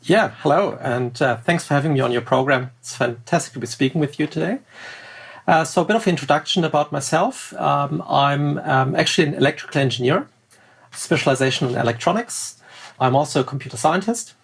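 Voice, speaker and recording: A man's voice: a little high, fairly quick, gravelly, breathy edge. A middle-aged man with a German accent, a podcast guest talking in bursts, with many fillers and restarts. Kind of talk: podcast interview.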